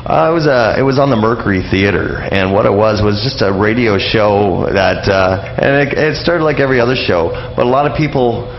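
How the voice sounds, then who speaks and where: A deep-voiced man talks casually as a radio interview guest. He rambles, halting often and doubling back on words. The recording is dull and lo-fi, with noise in the background.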